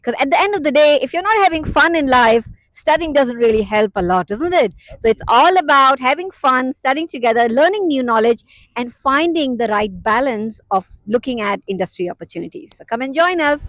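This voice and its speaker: A woman's voice: high voice, lively intonation, brisk, fairly bright tone, no echo, low-quality recording. A woman from university staff, talking in an upbeat, welcoming way as she promotes a study program. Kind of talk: enthusiastic promotional talk.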